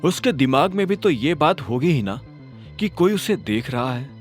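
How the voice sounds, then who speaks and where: A male narrator voicing a calm, determined young hero in a storybook audio drama. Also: on the high side, normal speed, faint music behind.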